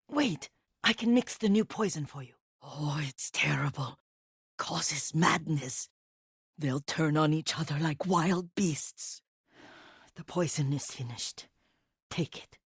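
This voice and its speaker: An elderly Japanese woman, a devoted family caretaker in a dramatic video game. Her soft, husky voice moves slowly and gently, deferential and steeped in nostalgia. The recording is close and dry. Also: deep voice, dry recording, full character voice. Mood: quietly grave. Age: older. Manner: gentle, deferential, unhurried, reminiscing.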